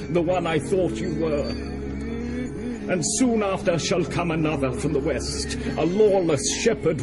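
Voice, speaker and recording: A man's voice: a little high, natural voice. An actor playing a bitter, tormented sinner, a corrupt churchman, with solemn, declamatory delivery in an audio drama. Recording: voice under very loud music.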